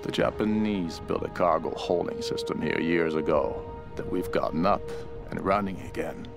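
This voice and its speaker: A man's voice: low-pitched, moderate pace, creaky. An actor playing a ruthless, cynical cult henchman and survivor, narrating a video game journal in a grim, world-weary way. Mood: scheming. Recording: music behind the voice.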